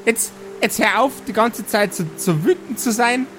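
A man's voice: very high voice, normal speed, a bit bright, faint music behind, voice pushed up. A man voicing a chatty dwarf mother who fusses over and chides her grown sons in an improvised fantasy game. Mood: chiding, placating. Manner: fussy, chiding.

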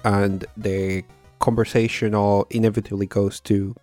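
Male interviewer and show host, slightly low register, dry close recording with some music behind. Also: level tone, a little slow, a little mellow, no room sound. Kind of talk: interview hosting with scripted introduction and questions.

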